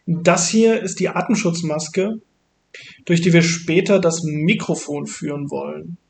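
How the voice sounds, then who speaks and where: A man with a fairly high voice and a strong creak narrates a craft build in a casual, explanatory way. There is faint noise behind his voice. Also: measured pace. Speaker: maker narrating a build video. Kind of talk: casual tutorial voice-over.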